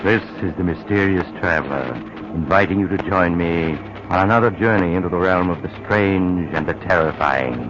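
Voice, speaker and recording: A man's voice: deep voice, normal speed, loud music behind the voice, close to an everyday voice. An actor playing a sinister male narrator who leads listeners into a creepy radio tale, in 1940s radio style. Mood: ominous. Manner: teasing, ominous delivery. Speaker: narrator host. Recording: muffled old radio broadcast with hiss.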